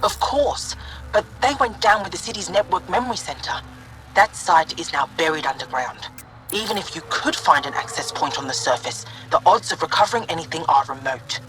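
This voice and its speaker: A woman playing a weary female alien researcher in a video game, formal, measured and resigned. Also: deep voice, expressive, over music. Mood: measured, guarded. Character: weary female alien researcher mourning her lost home and work. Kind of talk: video game dialogue acting.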